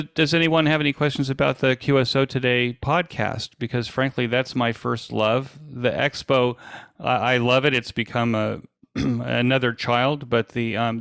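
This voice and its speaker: A man with a gravelly voice answering questions informally as a presenter, explaining things with frequent hesitant pauses. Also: mid-range voice, a bit bright, dry recording, clean studio sound. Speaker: presenter and podcast host.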